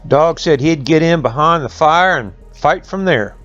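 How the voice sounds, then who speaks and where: A man's voice: on the high side, animated, a little slow, a bit bright, muffled recording, soft background music. A man telling a folk tale in a folksy oral style, with rustic phrasing and conversational asides. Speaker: storyteller.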